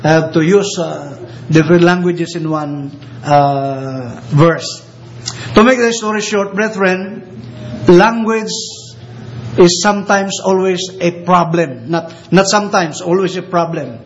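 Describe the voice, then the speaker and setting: Man, Filipino accent, native Ilocano speaker, preacher, halting delivery, slow scripture reading, dull lo-fi audio. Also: sits high, slight background noise. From Philippines. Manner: halting, frequent filler pauses, slows for scripture. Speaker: visiting preacher. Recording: dull lo-fi recording with faint ambience.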